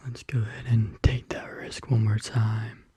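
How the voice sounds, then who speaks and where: An ASMR host whispering softly and casually in a gentle, reassuring manner, close to the microphone. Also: medium pitch, little pitch movement, unhurried. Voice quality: breathy whisper. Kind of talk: soft-spoken whispered ASMR. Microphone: close studio microphone.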